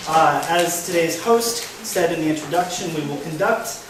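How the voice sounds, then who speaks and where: A man leads the Q&A portion of a talk, speaking in a measured, polite manner. His voice sits a little high, and it comes from some distance off in a very reverberant hall with noise underneath. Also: lively intonation. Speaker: Q&A moderator. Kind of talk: reading audience questions. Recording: echoey hall, mic far off, noisy.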